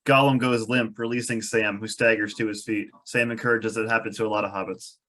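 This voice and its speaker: A man's voice: on the low side, flat delivery, a little fast, a bit bright. A man reading out stage directions as narrator at a fantasy script table read, with a wry manner.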